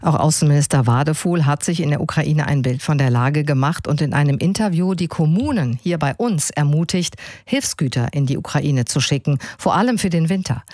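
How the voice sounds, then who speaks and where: A woman's voice: deep voice, a little mellow, no room sound. Dry-sounding woman hosting radio news. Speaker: radio news magazine host. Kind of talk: scripted news presenting, conversational.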